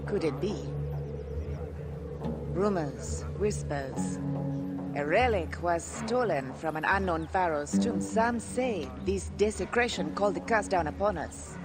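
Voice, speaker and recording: An actor playing a guarded, foreboding underworld informant in a video game, talking in clipped, ominous phrases. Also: male voice, high-pitched, expressive, unhurried. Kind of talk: dramatic video game acting. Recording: loud background music.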